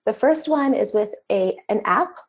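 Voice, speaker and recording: A woman's voice: medium pitch, expressive, moderate pace. A woman teaching an online course, walking through a screen-share tutorial in a casual, conversational way, her voice a little worn. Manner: casual, conversational explaining with fillers. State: slightly losing her voice.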